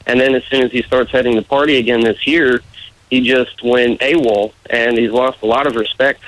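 A man's voice: mid-range voice, fairly even intonation, moderate pace, a bit bright, poor audio. Earnest man with a muddled American accent. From United States, raised in various regions. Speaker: political candidate being interviewed. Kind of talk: casual interview talk.

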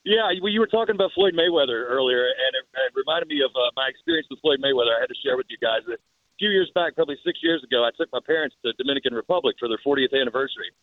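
A man's voice: medium pitch, very fast, no echo. A man calling in to a radio show over the phone, chatting and telling stories casually. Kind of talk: casual storytelling and chat. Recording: tinny dry phone line.

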